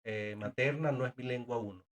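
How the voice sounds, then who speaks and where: A man's voice: medium pitch, brisk. A man who works as a sign language interpreter and teacher of deaf students, a guest in a casual conversation, speaking earnestly with frequent hesitations and repeated words.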